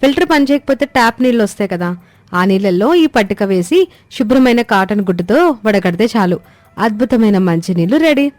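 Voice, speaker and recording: A woman voicing a kind, capable young village daughter-in-law in a cartoon story, brisk and reassuring. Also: medium pitch, expressive, a little mellow, no room sound, lightly acted.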